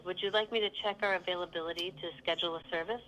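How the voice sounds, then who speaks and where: A woman's voice: lowish voice, steady tone, racing, fairly bright tone, poor audio. A synthetic woman's voice as a polite virtual assistant, giving scripted, neatly phrased customer service. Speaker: virtual phone receptionist.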